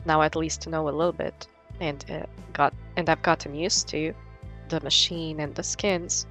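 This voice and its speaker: A woman's voice: sits low, steady tone, moderate pace, fairly bright tone, soft background music. A young woman narrating a casual vlog in an informal, sweary way. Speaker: vlogger, artist.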